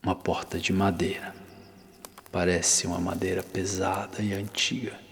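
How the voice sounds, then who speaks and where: A Brazilian man narrating an ASMR guided visualization, calm and instructing, with long pauses between phrases. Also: low voice, slight background noise. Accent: Brazilian Portuguese. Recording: long reverberant hall-like echo.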